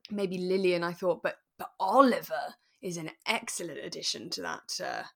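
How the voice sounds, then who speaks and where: Woman, lowish voice, chatting casually. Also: normal speed, creaky. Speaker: podcast co-host. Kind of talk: relaxed casual chat about a radio drama.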